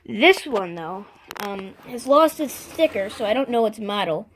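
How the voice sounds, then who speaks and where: A vlogger casually showing off a collection, speaking unscripted and haltingly, often stopping to clear the throat. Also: mid-range voice, animated, fairly bright tone, slight background noise, low-quality recording. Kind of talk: casual unscripted show-and-tell.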